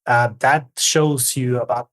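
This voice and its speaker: A man's voice: mid-range voice, fairly even intonation, takes it slowly, fairly bright tone. A man in his forties, from Argentina and raised in Norway, speaking as an interview guest in a thoughtful, hesitant way with many restarts. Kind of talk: conversational interview.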